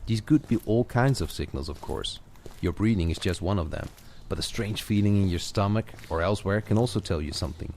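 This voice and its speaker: A man with a low-pitched voice speaks as a coach guiding a single listener. His delivery is conversational and encouraging, with playful asides. Faint background noise sits behind the voice. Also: animated, a little fast, a little mellow. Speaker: coach guiding a walking audio session. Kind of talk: guided coaching talk, conversational, addressed to one listener. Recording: clear background noise of outdoor walk effects. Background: added walking footsteps, garden birds, ambience.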